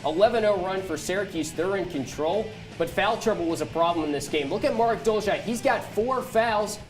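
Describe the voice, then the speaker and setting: A man's voice: sits high, brisk, faint music behind. A man hosting a sports broadcast and commentating on games as a play-by-play announcer.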